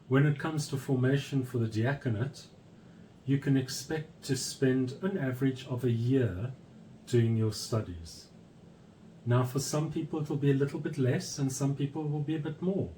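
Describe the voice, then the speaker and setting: A man speaking as a church leader in an earnest, measured address, pausing often between short phrases and turning firm on commitment. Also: medium pitch, dark tone, slight background noise, poor audio.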